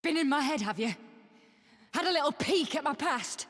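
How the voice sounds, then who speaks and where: An actress playing a bitter, defiant captive traitor in a video game, husky and scornful, swinging between murmur and shout. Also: female voice, high voice, lively intonation, normal speed. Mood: scornful, enraged. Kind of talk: dramatic video game voice acting. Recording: long hall-like reverb.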